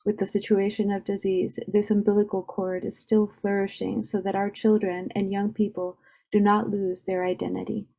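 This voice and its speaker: A woman's voice: mid-range voice, gravelly, warm tone. A woman reading a report aloud as part of a group reading over a video call.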